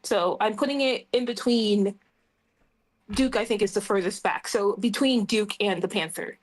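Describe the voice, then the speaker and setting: A woman's voice: mid-range voice, normal speed, low-quality recording. A woman playing a tabletop roleplaying game, casually explaining her moves and their rules.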